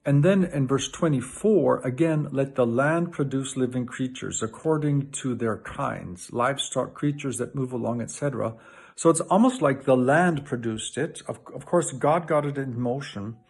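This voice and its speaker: A man's voice: mid-range voice, moderate pace, gravelly, darkish tone, breathy edge. A middle-aged man from Canada, a professor and lay preacher, giving an enthusiastic greeting and Bible teaching with frequent restarts.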